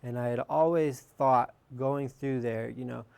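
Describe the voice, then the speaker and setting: Young man, gravelly creaking voice. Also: medium pitch, steady tone, unhurried, noisy background. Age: young adult. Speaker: news interviewee. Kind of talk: reflective interview answers.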